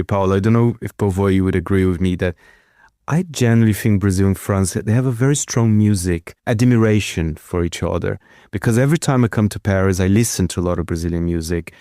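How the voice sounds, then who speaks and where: This is a male interviewer with a lowish, mellow voice, chatting enthusiastically with musicians. He rambles and restarts his sentences. The sound is dry. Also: a little fast, dry recording. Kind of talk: casual interview.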